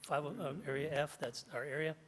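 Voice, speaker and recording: A middle-aged man, slightly hoarse after jaw surgery, reading prepared remarks at a podium in a large meeting hall and stopping often to clear his throat. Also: on the high side, steady tone, moderate pace. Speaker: union chapter president. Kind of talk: public comment at a board meeting. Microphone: podium microphone.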